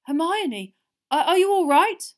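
A woman's voice: very high-pitched, lively intonation, unhurried, pitched above the performer's own voice. A woman voicing a worried, protective middle-aged father in a storybook, with a shaky, urgent voice. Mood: shaken relief.

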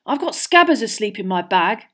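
A woman voicing an irritable, hot-tempered teenage schoolboy in an audiobook, with loud, indignant delivery. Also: medium pitch, big pitch swings, moderate pace. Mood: irritated. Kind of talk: audiobook character voice.

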